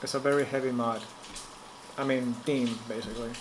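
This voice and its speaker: A man's voice: mid-range voice, fairly even intonation. Puerto Rican man chatting over a noisy stream. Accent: Puerto Rican Spanish. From Puerto Rico. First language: Spanish. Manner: halting, repeats words, hums and chants to himself. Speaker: livestream host. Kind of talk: live streaming chat while working. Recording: noticeable background noise.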